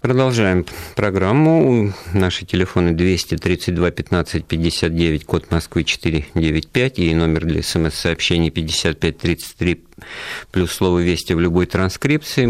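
Deep-voiced male radio host. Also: steady tone, normal speed, no room sound. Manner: thoughtful, hesitant, with frequent fillers. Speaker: radio talk show host. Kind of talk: live radio talk show discussion. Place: radio studio.

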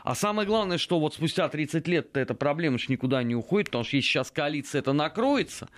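A man in a studio discussion who hesitates with many filler sounds, then speaks in animated bursts. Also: a little high, fast talker.

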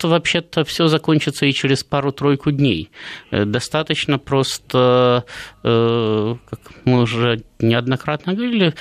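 Male pundit, fifties, talk-show guest; halting, digressive analysis with anecdotes, very dry close sound. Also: mid-range voice, no room sound. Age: early 50s. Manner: discursive, hesitant, full of long hesitations and parenthetical asides. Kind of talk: unscripted political analysis with anecdotes.

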